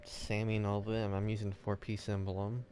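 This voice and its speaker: A man with a fairly low voice chats loosely as he plays a game. His delivery is offhand and rambling, with hesitant gaps. The recording is noisy, with clear background sound behind him. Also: monotone, moderate pace. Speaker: video game streamer. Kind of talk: casual gameplay chat.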